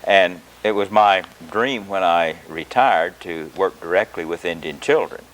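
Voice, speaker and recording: Deep-voiced older man, halting answers. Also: a bit bright, poor audio. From Oklahoma. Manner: measured, hesitant with many filler pauses. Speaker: guest, retired education professor. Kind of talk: interview answers.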